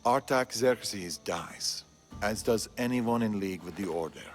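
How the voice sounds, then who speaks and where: An actor playing a grave, determined older Persian assassin and father in a dramatic video game scene, speaking solemnly and deliberately. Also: on the low side, faint music behind, natural voice. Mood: resolute.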